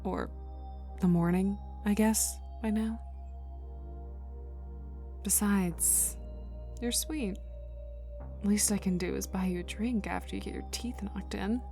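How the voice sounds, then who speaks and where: A woman voicing a cocky, teasing, gruffly protective young villain enforcer in an intimate ASMR roleplay, with a casual drawl that drops into whispers. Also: medium pitch, slow, pauses often, dark tone. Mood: wry, caring. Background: ambient music.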